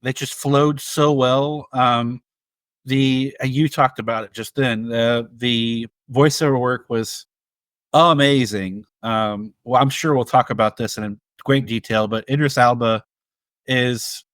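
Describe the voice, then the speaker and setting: A man co-hosting a casual film discussion, speaking in a measured, appreciative and somewhat halting way. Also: mid-range voice, fairly even intonation, fairly bright tone, no room sound. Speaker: podcast co-host.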